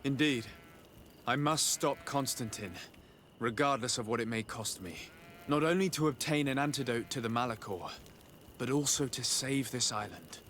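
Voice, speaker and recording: An actor playing a sincere, reserved young noble diplomat in a video game, speaking politely and formally. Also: male voice, medium pitch, normal speed, fairly bright tone, slight background noise, lightly acted. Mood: resolute. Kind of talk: video game dialogue acting.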